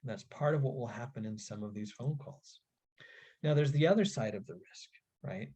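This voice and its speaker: A man giving an instructional webinar talk to parents in an earnest, deliberate way, stressing key phrases. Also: mid-range voice, a little mellow, breathy edge. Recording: dull, lo-fi webinar audio.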